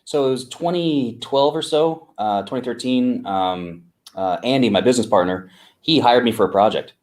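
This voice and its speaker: A man co-founder of an agency, answering interview questions in an unhurried way with many fillers and restarts. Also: mid-range voice, darkish tone.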